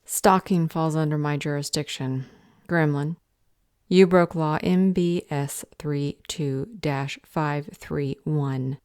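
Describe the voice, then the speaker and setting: A woman's voice: low voice, steady tone, takes it slowly, darkish tone, clear, resonant tone. A woman playing a stern, curt magical council judge in a fantasy audio roleplay, formal, officious and commanding.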